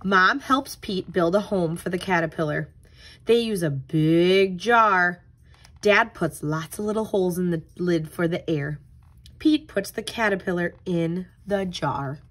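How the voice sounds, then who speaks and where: Slow-paced woman reading to children. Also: on the low side, fairly bright tone. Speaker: tutor reading a picture book and leading a craft. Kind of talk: children's read-aloud and craft instruction.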